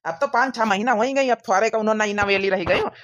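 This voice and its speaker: A woman's voice: medium pitch, a little fast, close to an everyday voice. A woman voicing a nagging but fair-minded young village husband in an Awadhi cartoon comedy, with a rustic, scolding manner. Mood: reproachful.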